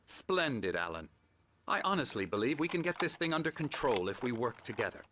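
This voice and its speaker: A man playing a middle-aged psychiatrist in a video game, calm and measured, patronizing as he soothes patients at his clinic. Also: mid-range voice, a little hiss or hum. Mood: grave, persuasive. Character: calm, smooth, condescending male psychiatrist running a clinic. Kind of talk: video game cutscene acting. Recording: music playing behind the voice.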